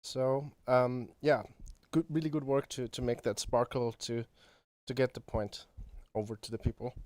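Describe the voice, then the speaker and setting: A man talking casually as a guest composer, in a halting, hesitant way with repeated words. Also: mid-range voice, little pitch movement, darkish tone, no room sound. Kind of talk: casual chat.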